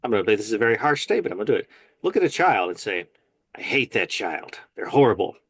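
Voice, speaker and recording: A man's voice: fairly low pitch, very animated, fast, vocal fry, a bit bright, breathy edge, no echo, studio quality. A man speaking as a guest in a casual faith conversation, earnest and emphatic, often agreeing firmly. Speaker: guest, nurse.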